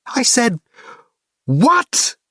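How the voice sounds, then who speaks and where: A man voicing an exasperated, sharp-tongued young woman who snaps back sarcastically in an audiobook. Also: quick pace, husky, no echo, studio quality, natural voice. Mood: furious. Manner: shrill, sarcastic outbursts. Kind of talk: audiobook character voice.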